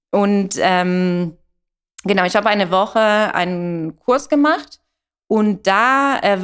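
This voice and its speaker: A woman's voice: mid-range voice, clean tone. A woman with an Italian accent answering as an interview guest, hesitantly searching for words in a language that is not her first. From Italy. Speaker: interview guest, coffee business founder.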